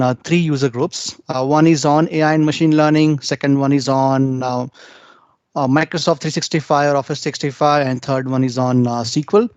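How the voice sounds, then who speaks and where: A man's voice: fairly high pitch, smooth, dry recording. Man presenting over a dry muffled call. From India. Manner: many "uh" fillers between phrases. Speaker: conference presenter. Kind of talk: presentation introduction. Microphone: video call. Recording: dry, dull video call audio.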